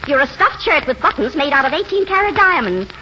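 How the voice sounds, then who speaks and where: A restless, discontented society wife, high-pitched and swooping. It is excitable 1940s radio drama on a noisy old recording. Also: female voice, brisk, dull audio, exaggerated voice. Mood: bitter, confiding. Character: discontented, thrill-hungry rich society wife.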